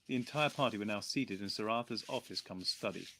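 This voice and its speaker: A man narrating an audiobook in a measured storytelling manner, with lively character voices. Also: on the low side, slight background noise. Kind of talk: reading an audiobook aloud.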